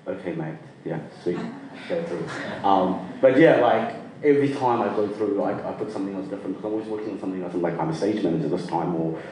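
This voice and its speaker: A man's voice: sits low, quick pace, mellow, recorded from a distance. A man born in the UK and raised in Sri Lanka, telling his own story at a live storytelling night in a casual, rambling way and laughing easily. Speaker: storyteller guest. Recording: roomy echo, from off-mic.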